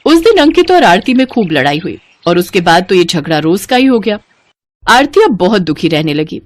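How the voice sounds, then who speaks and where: A woman's voice: fairly low pitch, sing-song, moderate pace, dry recording. A woman narrating a scripted family moral story as a storyteller.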